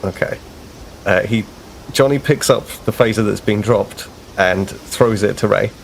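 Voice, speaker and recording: A man's voice: lowish voice, measured pace, creaky, slight background noise, low-quality recording. A man playing a tabletop game, explaining his moves in a thoughtful, rambling way. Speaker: player. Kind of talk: casual tabletop play.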